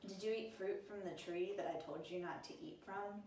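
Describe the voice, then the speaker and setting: A woman voicing God, grave and calm. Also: mid-range voice, normal speed, a little hiss or hum, natural voice. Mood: solemn. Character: God, a calm, solemn, fatherly voice. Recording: echoey room, slightly distant mic.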